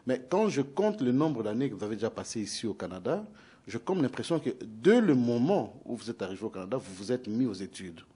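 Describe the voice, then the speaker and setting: A man's voice: medium pitch, sing-song, fairly quick, warm tone, breathy edge. A man hosting a TV interview, speaking formally and addressing the audience directly. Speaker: TV interview host.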